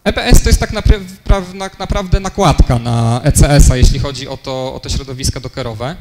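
A man's voice: medium pitch, fairly quick, darkish tone, soft background music. Young man presenting in an echoey hall. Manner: fillers and self-corrections, explanatory. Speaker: conference speaker. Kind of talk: technical conference talk. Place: conference room, lecture hall. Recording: long hall reverb, faint noise.

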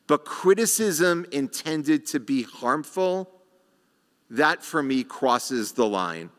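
A middle-aged man, a rabbi, giving a sermon at a lectern microphone with slow, measured delivery and rhetorical pauses. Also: a little high, very animated. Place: large sanctuary, at a lectern. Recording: reverberant sanctuary sound.

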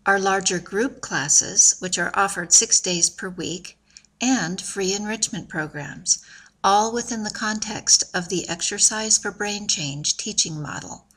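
A woman narrating a scripted promotional video in an even, measured, informative way. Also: mid-range voice.

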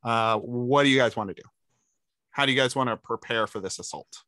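A man's voice: medium pitch, a little fast, sharp tone, no echo, clean studio sound. A man running a tabletop game as game master over a video call, laid-back and teasing, laughing easily.

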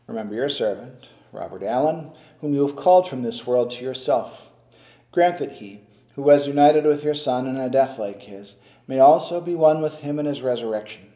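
An older man, a priest, reciting the prayers of the Mass at the altar in a slow, solemn, measured way. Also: mid-range voice, mellow. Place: stone-walled church sanctuary at the altar. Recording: echoey church.

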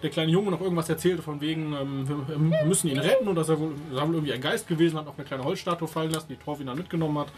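A man giving a halting, wordy, jocular recap of a role-playing session as one of the players. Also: a little high, a little mellow. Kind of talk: unscripted recap of a game session.

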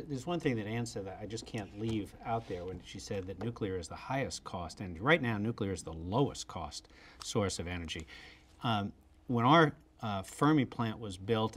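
A man's voice: fairly low pitch, husky. An American man in his sixties speaking unscripted as an expert on a studio panel. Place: TV studio at a desk.

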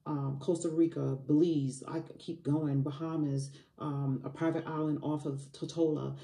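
A woman's voice: low voice, level tone, moderate pace, creaky, dark tone, echoey room, distant mic. A woman in her early forties, a money coach from Barbados, giving an emphatic motivational talk to camera at home. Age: 40s. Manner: emphatic, repetitive motivational phrasing with fillers. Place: home room by a window.

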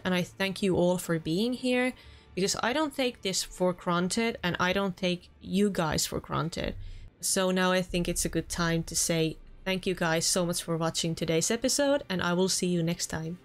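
A woman's voice: on the low side, normal speed, soft background music. A young woman with a Finnish accent, chatting earnestly as she wraps up a let's play session from her home room. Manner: chatty and earnest, with small restarts. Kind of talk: casual gameplay commentary.

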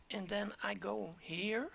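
A hoarse man walks through a software tutorial at a slow, halting pace. His voice is very gravelly yet bright-edged, and he keeps clearing his throat and slipping into whispers. He speaks into a desktop mic at his computer. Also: high voice. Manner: halting, step-by-step, drops to whispers. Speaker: 3D modeling tutor. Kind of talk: screen-recorded software tutorial.

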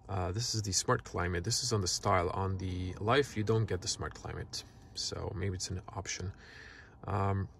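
A man whose first language is Croatian, casually walking through a car's features in a relaxed, halting way from inside a parked car. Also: on the low side, gravelly, breathy edge. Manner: relaxed, halting, many fillers. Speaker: car reviewer. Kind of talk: casual product walkthrough.

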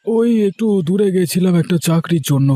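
A man's voice: high voice, animated, a little fast, mellow, no room sound. A man voicing an earnest, dutiful young brother in a cartoon moral story, with a familiar way of talking.

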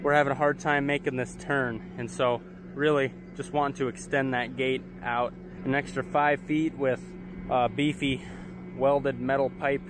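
A man's voice: mid-range voice, flat delivery, moderate pace, noisy background. A young man, talking casually to camera as a farmer vlogging, laid-back and plain-spoken. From United States. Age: young adult. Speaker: farmer and vlog host. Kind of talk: casual vlog talk to camera. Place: outdoors on a farmyard and cattle pens.

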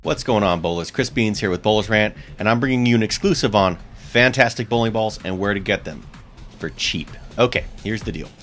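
A man's voice: fairly low pitch, fairly quick, fairly bright tone, faint music behind. A man in his thirties with a US East Coast accent, hosting a bowling tips vlog straight to camera and talking upbeat and chatty, repeating his key points. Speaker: bowling channel host. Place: small indoor room with shelves. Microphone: seated close to camera.

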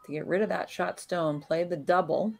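Low-pitched lady doing curling play-by-play, calm and analytical, lots of pauses. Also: creaky. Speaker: curling commentator. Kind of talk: live sports commentary.

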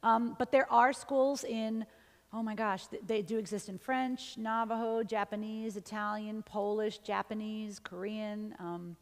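A middle-aged woman from New York, a university professor, answering questions after her talk in a chatty, animated way. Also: medium pitch, unhurried. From New York, USA. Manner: chatty, animated, laughs easily. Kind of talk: lecture and audience Q&A. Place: large auditorium stage. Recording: long hall reverb from the auditorium.

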